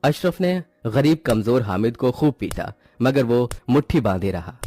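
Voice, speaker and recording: A man's voice: mid-range voice, lively intonation, moderate pace, dark tone, no room sound. A man reading scripted narration for a moral story.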